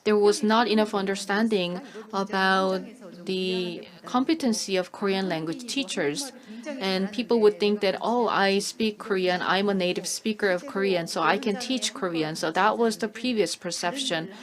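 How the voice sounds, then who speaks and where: A woman works as a live conference interpreter, delivering formal content in measured phrases with small hesitant pauses. Her voice sits at a middling pitch, and audience noise is clearly heard around it. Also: crowd noise.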